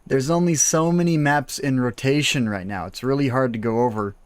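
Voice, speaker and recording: A man's voice: mid-range voice, brisk, clean tone, no room sound. A man in his early twenties hosting a casual gaming livestream, chatty, laughing often and full of fillers. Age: early 20s. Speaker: game streamer host.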